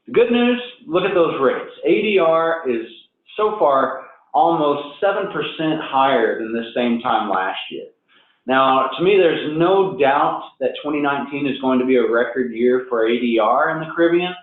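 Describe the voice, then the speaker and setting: A man presenting a business webinar, upbeat and conversational, a little hoarse and nasal from a cold. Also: medium pitch, animated, normal speed. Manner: upbeat, conversational, frequent fillers and restarts. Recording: tinny phone-line audio with heavy echo.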